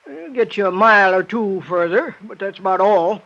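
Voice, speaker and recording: A sly old American desert bartender for vintage radio drama. The voice is very high and piercing, with a rustic, chatty way of talking. The recording is dull and dated. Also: male voice, lively intonation, normal speed, gravelly, bright, forceful tone, exaggerated voice. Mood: folksy, talkative. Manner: rustic drawling backwoods talk, chuckling. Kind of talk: 1950s radio drama acting.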